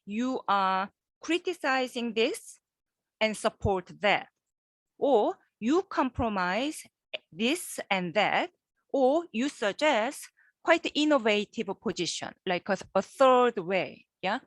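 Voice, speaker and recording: A woman professor giving a guest lecture over a video call, halting and repeating words, often checking in with her listeners. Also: fairly high pitch, animated, dry recording. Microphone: computer microphone on a video call.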